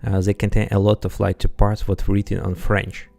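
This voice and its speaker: A man's voice: lowish voice, completely flat delivery, normal speed, gravelly, mellow, faint music behind. A man whose first language is Russian, hosting a casual chat in English, hesitant and full of fillers. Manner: hesitant, many fillers and restarts. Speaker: host.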